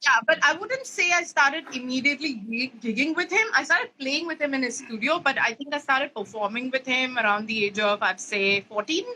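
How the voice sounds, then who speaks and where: Chatty bright-voiced young woman. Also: high-pitched, a little fast, few pauses, a little hiss or hum, poor audio. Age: 20s. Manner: chatty, rambling, many fillers and "you know". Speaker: bassist guest. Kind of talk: casual interview chat.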